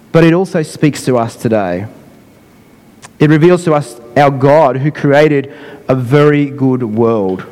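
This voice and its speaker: A man preaching a sermon in an unhurried way, his voice croaky, often repeating and rephrasing himself. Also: a little high, darkish tone, in a large hall. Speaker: pastor.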